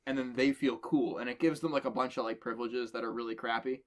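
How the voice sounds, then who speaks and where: A young man chats with friends on a podcast in an easygoing way. He explains things at length, with an ordinary middle-pitched voice. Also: steady tone, fast talker. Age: young adult. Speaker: podcast co-host. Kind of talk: casual chat among friends.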